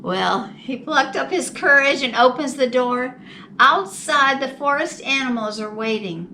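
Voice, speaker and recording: Lady doing kids storytime, high bright voice, slow and expressive, bit far from the mic. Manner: deliberate, animated, drawn-out phrasing. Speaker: children's storytime host reading a picture book. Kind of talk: slow expressive storybook narration for young children. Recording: distant mic, faint background noise.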